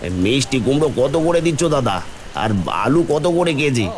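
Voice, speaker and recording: A man playing a poor, gentle village father in a cartoon, soothing and resigned. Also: medium pitch, expressive, fairly quick, close to an everyday voice. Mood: chatty. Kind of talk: Bengali cartoon voice acting.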